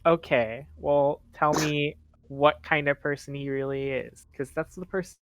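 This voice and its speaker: A skeptical teenage adventurer from a tabletop roleplay voiced by a player, quick to scheme. The delivery is blunt and questioning, picked up dry and close. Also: mid-range voice, steady tone, no room sound, lightly acted. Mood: skeptical, challenging.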